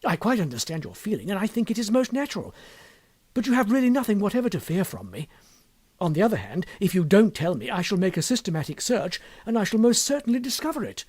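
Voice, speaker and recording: A man voicing an earnest, hot-tempered, wealthy young English gentleman in an audiobook story, speaking formally and emphatically. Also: high voice, sing-song, fast, slightly rough, no echo, pitched above the performer's own voice, heavily acted. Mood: earnest, insistent. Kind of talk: audiobook character dialogue.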